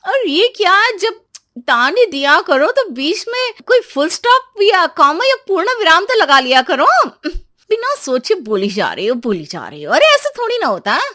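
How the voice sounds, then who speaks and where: A woman voicing a sassy, argumentative young daughter-in-law in an animated family comedy, talking back in a rapid, insistent way. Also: very high-pitched, exaggerated voice. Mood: irritated, cheeky.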